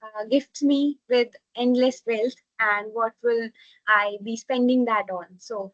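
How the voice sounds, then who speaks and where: An earnest woman speaks steadily over a video call, giving an impromptu speech. Her voice is somewhat high. The call audio sounds dull and lo-fi. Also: steady tone, takes it slowly.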